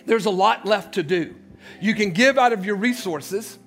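A male pastor preaching a sermon, swinging between loud shouts and hushed low passages and repeating words as he builds. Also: normal speed.

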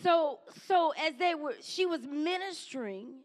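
High-voiced woman preaching to her church. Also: animated, a little slow. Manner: conversational preaching with call-and-response asides; says she has a strong voice. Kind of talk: sermon.

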